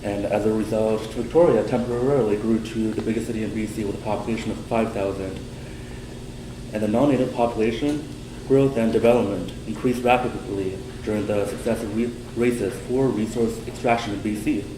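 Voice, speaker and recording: A young male student giving a prepared class presentation in a steady way, slowing on key words. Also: fairly low pitch. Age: young adult. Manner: steady, prepared delivery with some slow stresses. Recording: echoey room with air-conditioning hum.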